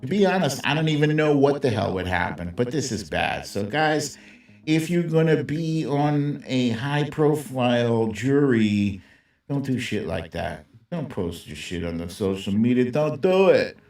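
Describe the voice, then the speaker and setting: Sardonic man, slow-paced, in an echoey room. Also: mid-range voice, sing-song, a little mellow. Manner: sardonic, conversational, salty slang. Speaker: host of a live political commentary stream. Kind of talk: casual opinionated commentary with asides to viewers. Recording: roomy echo, distant mic, music behind.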